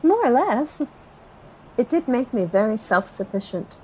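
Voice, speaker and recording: Nervous folksy storyteller, forties, high voice, very animated, rambling, audio drama interviewee. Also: female voice, slight background noise, rough recording. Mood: reflective. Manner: rambling, self-correcting, with pauses and sighs. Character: chatty, nervous, folksy woman in her forties recalling family history.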